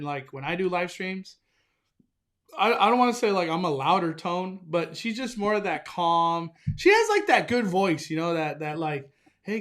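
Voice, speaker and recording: A young man hosting a casual live stream, excitable and enthusiastic, often stumbling and restarting his sentences. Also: high-pitched. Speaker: livestream host.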